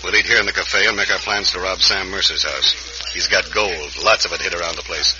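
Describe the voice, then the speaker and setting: A man playing a rough, bossy, hot-tempered outlaw boss with an American accent in an old radio western. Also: sits low, fairly even intonation, a little fast, highs cut off, lightly acted. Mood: scheming. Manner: rough, bossy cowboy drawl talk. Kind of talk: 1950s radio western acting. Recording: noisy, band-limited old radio recording.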